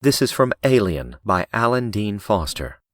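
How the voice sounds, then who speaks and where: A man's voice: lowish voice, big pitch swings, measured pace, fairly bright tone, no room sound. A man reading scripted audiobook narration as a professional narrator, close on a studio mic.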